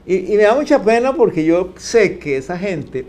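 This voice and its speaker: An older man, chatting on a panel with many pauses and restarts. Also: high-pitched, very animated, darkish tone, slight background noise. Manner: hesitant, many restarts and fillers. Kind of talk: casual chat.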